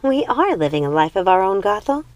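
A woman's voice: mid-range voice, sing-song, fairly quick, darkish tone, dry recording, voice pushed up, close to an everyday voice. A woman voicing a blunt, protective young witch sister in a storybook, with a dismissive manner.

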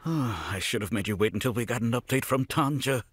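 A man's voice: medium pitch, animated, brisk, breathy edge, no echo, clean studio sound. An actor playing a wry, weary male toy craftsman who explains things patiently. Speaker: toy store worker.

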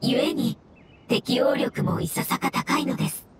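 A woman voicing a calm, wise, polite mushroom creature in a video game, speaking in a measured, formal, gently explanatory way. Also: sits high, gravelly, noisy background, heavily acted. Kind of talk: scripted video game dialogue. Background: background music.

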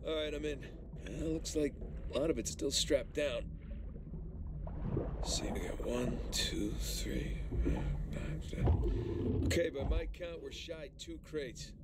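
A man's voice: on the high side, lively intonation, unhurried, heavy background noise. A man playing an easygoing, wisecracking salvage diver in a naturalistic video game performance, casual and wry. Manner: casual, wry, muttering to himself.

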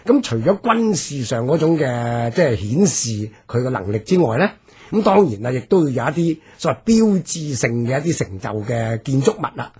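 A man with a Hong Kong Cantonese accent and a strongly husky, raspy voice. His pitch is medium. He explains his points as a commentator in a radio discussion. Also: sing-song, moderate pace, a little mellow.

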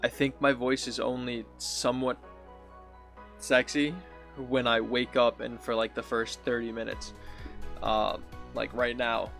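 Young guy gaming streamer, deep raspy morning voice, rambly, faint music under it. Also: level tone, unhurried, fairly bright tone. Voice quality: deep and raspy after waking. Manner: rambling, self-correcting, friendly. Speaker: game streamer. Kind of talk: casual gaming vlog talk. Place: small home room. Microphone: studio mic on boom with pop filter, headphones.

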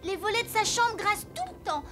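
A woman's voice: very high voice, fairly quick. A woman voicing an eager, innocent, kind-hearted little girl in a French cartoon dub. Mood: coaxing. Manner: bright-eyed, eager, innocent. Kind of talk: French animated series dub.

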